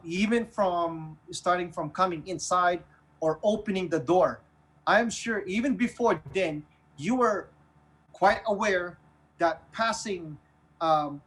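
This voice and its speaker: A man with a Filipino accent casually discussing the news as a radio host, in a halting, earnest way that often repeats phrases. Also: high-pitched, fairly bright tone, husky, rough recording. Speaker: online radio show host.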